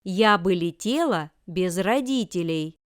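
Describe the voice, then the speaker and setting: A woman reading example sentences for language learners with careful, deliberate enunciation. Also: lowish voice, expressive, dry recording, studio quality. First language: Russian. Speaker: language lesson reader.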